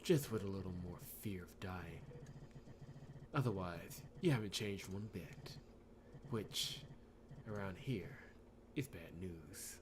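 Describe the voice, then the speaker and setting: A man's voice: deep voice, expressive, takes it slowly, background noise. A man voicing a smug, cryptic male character who taunts, as in a visual novel. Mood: smug, taunting.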